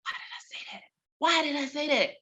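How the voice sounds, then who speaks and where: A woman in her thirties, a mother, telling a personal story on a video call with expressive, dramatic delivery and big swings in loudness. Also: high voice, a little fast, muffled recording.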